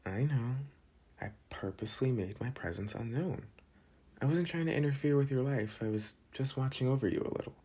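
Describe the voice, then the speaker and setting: A young man playing a protective, teasing but soft-hearted school delinquent in an intimate, casual ASMR boyfriend roleplay. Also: mid-range voice, a little fast, breathy edge. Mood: earnest.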